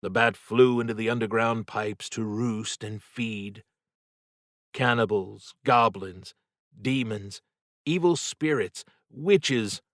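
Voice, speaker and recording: A man narrating a horror novel as an audiobook, telling the story in a measured, dramatic, suspenseful way. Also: on the low side, a bit bright, no room sound, clean studio sound.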